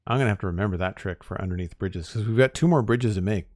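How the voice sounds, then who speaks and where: Low-voiced, slightly hoarse man streamer. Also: quick pace, no room sound, clean studio sound. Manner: relaxed, chatty, talks to chat. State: losing his voice. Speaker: Minecraft streamer. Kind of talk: casual live-stream chat while building.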